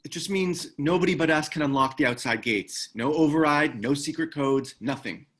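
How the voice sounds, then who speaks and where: A man's voice: a little high, moderate pace, a bit bright. A man playing a terse, guarded ex-military operative in a screenplay table read. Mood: matter-of-fact.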